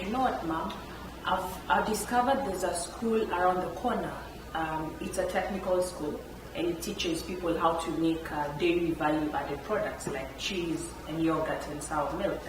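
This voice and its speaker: A woman from Kenya, an entrepreneur on a panel telling stories from her life with frequent laughter. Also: fairly low pitch. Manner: animated, laughs often while telling stories. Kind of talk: storytelling at a panel talk. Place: event room. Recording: echoey room, picked up from far, background noise.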